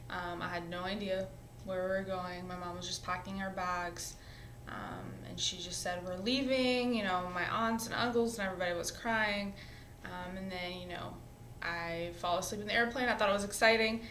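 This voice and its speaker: A woman in her twenties, a college student, telling her own story in a relaxed, reflective interview, often pausing with "um" and "you know". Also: mid-range voice, a little hiss or hum. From born in Syria, raised in the US. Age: 20s. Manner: conversational, reflective, frequent "um" and "you know" fillers. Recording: roomy echo.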